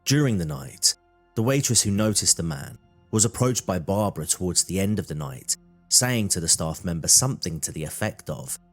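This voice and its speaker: Male narrator for scripted true crime, deep register, faint music bed. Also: big pitch swings, normal speed.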